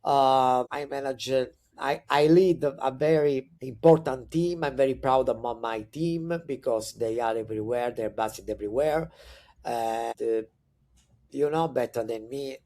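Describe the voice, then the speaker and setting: A man in his forties with an Italian accent, talking as a podcast guest in a relaxed, conversational way. His pitch sits in an ordinary middle range while he fills his sentences with hesitations and restarts, and he brightens up when excited. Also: a little slow. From Italy. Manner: hesitant, many fillers and restarts; upbeat and animated when excited. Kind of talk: conversational interview storytelling.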